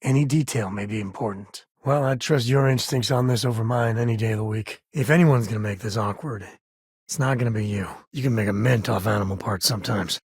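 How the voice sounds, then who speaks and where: Husky, wry American space cowboy. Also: male voice, fairly low pitch, a little fast, dry recording, studio quality. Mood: thoughtful, earnest. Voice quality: husky, grainy. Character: easygoing wry space cowboy, devoted father, adult man. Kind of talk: video game character dialogue.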